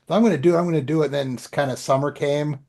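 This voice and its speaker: A man's voice: fairly high pitch, fairly even intonation, very fast, gravelly, a little mellow. A man with a Canadian accent, from the Ottawa area, chatting casually as a podcast co-host in a rambling, self-deprecating way. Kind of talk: casual chat, personal updates.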